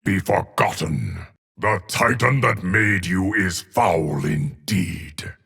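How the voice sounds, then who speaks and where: An ageless male guardian of time from a fighting game. The voice is low and very husky, with words that come very slowly. He is grave, deliberate and menacing, pronouncing doom with solemn weight. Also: lively intonation, fairly bright tone, full character voice. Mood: menacing, commanding. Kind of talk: video game character voice lines.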